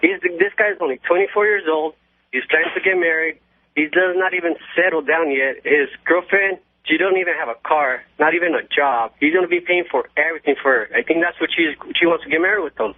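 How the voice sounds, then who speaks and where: A man's voice: fairly high pitch, fairly quick, dry recording. A man phoning in to a radio show, chatting casually as a caller. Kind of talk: casual phone-in chat. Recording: tinny, muffled phone line.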